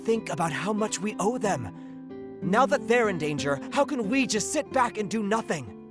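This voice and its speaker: An actress plays an earnest game townswoman, indignant and lively in her inflection. Her voice is medium in pitch, with music clearly behind it. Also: normal speed, close to an everyday voice. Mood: determined. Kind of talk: video game voice acting.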